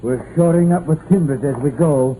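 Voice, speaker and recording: An actor playing a steady, cautious coal mine crew boss who gives clipped, practical orders in a 1950s radio drama. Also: male voice, fairly high pitch, normal speed, close to an everyday voice. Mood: brisk, commanding. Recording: muffled old radio recording with faint noise.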